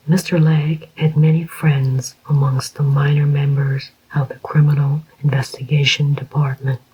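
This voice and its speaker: A narrator reading a crime story aloud in a soft, unvoiced whisper, slow and even. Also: mid-range voice, slightly rough. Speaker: audiobook narrator. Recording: dull lo-fi recording.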